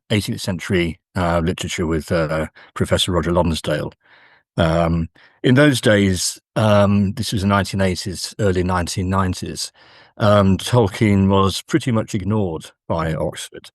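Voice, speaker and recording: A middle-aged man with a very low voice, recorded close and dry. He speaks as an academic guest in an interview, feeling his way through answers with many hesitant pauses. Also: dry recording. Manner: hesitant, halting delivery with frequent pauses. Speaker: guest academic, university professor.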